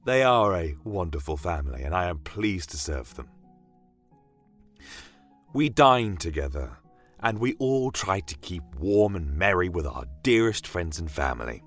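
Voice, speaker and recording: A deep male voice of an actor plays a sentimental, kindly doctor from a fantasy kingdom. He speaks to the listener like a reflective storyteller, with gently formal wording. Music plays softly behind him. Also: very animated, a little slow, full character voice. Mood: nostalgic, content. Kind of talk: in-character fantasy monologue to a listener.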